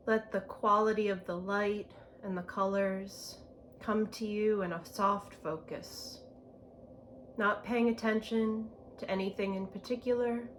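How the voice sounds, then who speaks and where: A woman leads a guided meditation at a slow pace. Her delivery is gentle and spacious, with long pauses between phrases and a medium pitch. Some ambient noise sits behind her voice. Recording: clear background ambient noise.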